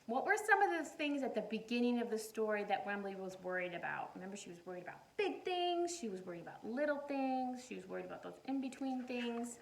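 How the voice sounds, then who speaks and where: A woman teacher reading a picture book aloud to young children, animated and encouraging, often putting questions to her listeners. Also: on the high side, gravelly, poor audio. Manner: encouraging, animated, asks questions and pauses for answers.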